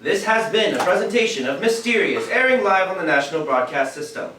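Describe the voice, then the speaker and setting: A man plays a 1940s-style radio announcer, polished with a dry edge. His pitch is fairly high and sweeps up and down. The recording is distant and echoey, with faint noise. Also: big pitch swings, brisk, bright, forceful tone, exaggerated voice. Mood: showy, formal. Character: polished old-time radio announcer and dry sidekick actor.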